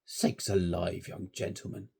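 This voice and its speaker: A man's voice: sits low, steady tone, vocal fry, dark tone, breathy edge, heavily acted. A man doing a grave old rustic shepherd. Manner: rustic dialect speech, slow and grave.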